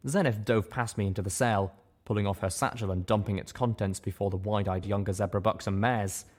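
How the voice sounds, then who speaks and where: A man reading first-person fiction aloud with steady, dramatic storytelling. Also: lowish voice, brisk. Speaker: audiobook reader.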